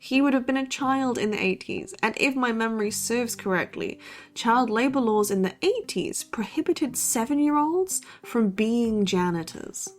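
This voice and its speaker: An actress playing a skeptical, dry-witted college student co-hosting a true-crime podcast in an audio drama. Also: female voice, on the high side, expressive, normal speed, faint music behind, close to an everyday voice. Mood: dry teasing. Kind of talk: conversational audio drama acting as podcast co-host.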